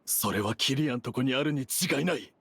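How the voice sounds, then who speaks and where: An actor playing a gruff, guarded man in shady business in an anime game dub, with terse, casual speech. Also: mid-range voice, lively intonation, brisk, fairly bright tone, a little hiss or hum. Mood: suspicious. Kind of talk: Japanese anime game dubbing.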